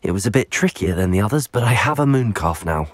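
A man's voice: lowish voice, lively intonation, fast talker, natural voice. An actor playing a polite, composed young male student wizard in a video game. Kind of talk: scripted video game character acting.

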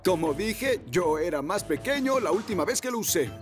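A kindly cartoon motorbike dad, earnest, lively pitch, music behind. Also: male voice, moderate pace. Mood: earnest, unsure. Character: kindly adult male rescue motorcycle, a father. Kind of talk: Spanish-language cartoon dub acting.

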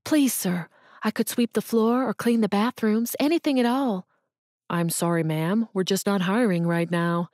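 A woman's voice: medium pitch, moderate pace, darkish tone, dry recording, studio quality, natural voice. A woman voicing a desperate jobless mother who pleads through tears, her voice breaking. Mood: pleading. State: crying.

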